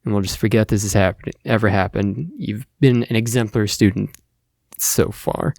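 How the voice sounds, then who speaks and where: A man voicing a female school principal, patient but firm, measured and gently admonishing. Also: fairly low pitch, gravelly, dry recording, studio quality, pitched below the performer's own voice.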